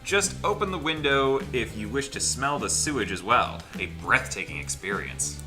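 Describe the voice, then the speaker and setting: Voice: mid-range voice, expressive, moderate pace. Playful narrator reading aloud over music. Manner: playful, dramatic reading with stumbles and wry asides. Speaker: narrator reading a visual novel aloud in a group playthrough. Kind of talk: casual gaming let's play, reading story text aloud with asides. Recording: music playing behind the voice.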